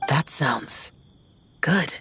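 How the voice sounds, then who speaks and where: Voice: medium pitch, expressive, extremely slow, bright, forceful tone, a little hiss or hum, full character voice. An actor voicing a stern, reserved ancient male guardian warrior in a game, with terse, restrained, solemn delivery. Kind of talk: video game voice acting. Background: background music.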